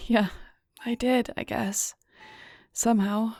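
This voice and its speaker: A hurt, stubborn female werewolf alpha played by a woman in an audio drama, her voice full of creaky fry. She confesses haltingly and emotionally, doubting herself, recorded close and very dry. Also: on the high side, fairly even intonation, darkish tone, dry recording. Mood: weary, regretful. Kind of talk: audio roleplay drama acting.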